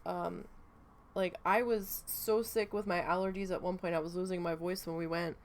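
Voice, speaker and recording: A woman's voice: fairly low pitch, fairly even intonation, moderate pace. A woman vlogger chatting casually while she crafts, rambling with lots of fillers and swearing freely. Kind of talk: casual chat while needle felting.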